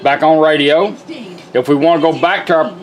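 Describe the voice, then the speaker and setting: A man's voice: fairly high pitch, normal speed. A man giving a casual hands-on product demonstration in a plain-spoken, folksy, step-by-step way.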